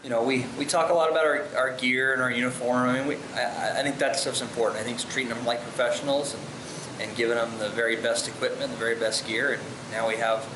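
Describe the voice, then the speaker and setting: A man's voice: fairly even intonation, quick pace. An American man, a baseball coach, answering in an interview. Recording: echoey room with ventilation rumble.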